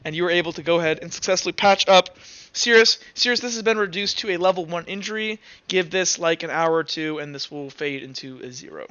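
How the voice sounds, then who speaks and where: Bright high-voiced young-sounding man, casual game master. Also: fast, no echo, lo-fi sound. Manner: informal, swearing, hesitant while improvising. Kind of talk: casual improvised narrating of a tabletop game.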